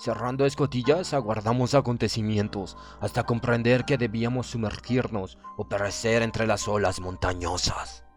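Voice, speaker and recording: A man's voice: lowish voice, steady tone, normal speed, few pauses. A man reads a horror story aloud as an audiobook narrator, in dramatic scripted narration. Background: background music.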